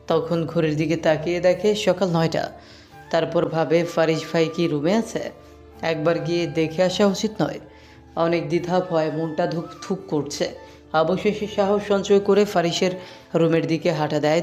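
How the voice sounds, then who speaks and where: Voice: medium pitch, darkish tone. Story narrator with music behind. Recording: background music under the voice.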